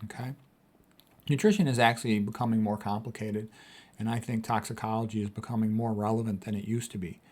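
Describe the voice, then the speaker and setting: A man's voice: lowish voice, steady tone, brisk, darkish tone. Sixty-year-old man doctor, emphatic commentary. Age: 60s. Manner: emphatic, repeats key points, casual asides. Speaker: physician host. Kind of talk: commentary on read quotes.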